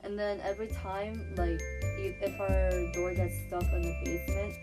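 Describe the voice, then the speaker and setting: A woman's voice: medium pitch, flat delivery, moderate pace, loud music behind the voice. A young woman from the Philippines, chatting casually as a podcast co-host and telling stories with slang and lots of fillers. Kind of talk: casual chat.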